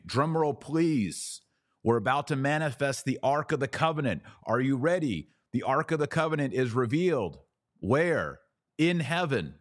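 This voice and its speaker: A man hosting a podcast, giving an emphatic theological teaching talk with rhetorical questions and dramatic pauses. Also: medium pitch. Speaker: podcast host.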